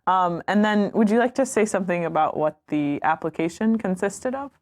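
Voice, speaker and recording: A woman's voice: medium pitch, normal speed. A young adult woman, a student, hosting an information session and prompting her guests with friendly, encouraging remarks. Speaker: host.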